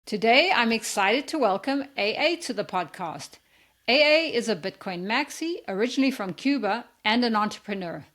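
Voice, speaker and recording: A woman hosting a podcast, welcoming her guest and asking steady questions. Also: a little high, normal speed, a little hiss or hum. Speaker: podcast host. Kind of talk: podcast interview.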